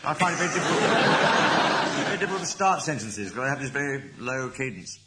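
Playful male panelist, lively pitch. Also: quick pace. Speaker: comedy panelist. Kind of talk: quick-witted quips. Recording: live studio show with loud noise.

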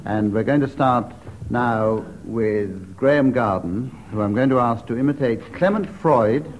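A man's voice: medium pitch, a little slow, a little hiss or hum, rough recording. A man hosting a radio comedy panel game, announcing rounds and scores with dry, wry asides. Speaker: quiz show host. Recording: radio panel show with audience.

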